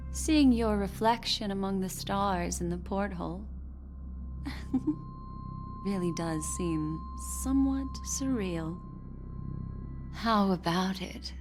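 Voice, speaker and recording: A woman's voice: medium pitch, darkish tone. A woman playing a mysterious, elegant fortune-teller in a video game, poised, teasing and seductive. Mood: teasing, intimate. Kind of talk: scripted game dialogue.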